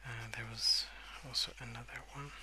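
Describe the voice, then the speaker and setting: A young adult man softly rambling in a breathy half-whisper for ASMR, relaxed and unhurried, close to the microphone. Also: mid-range voice, a little hiss or hum. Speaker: ASMR video creator. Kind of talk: soft-spoken ASMR rambling while colouring.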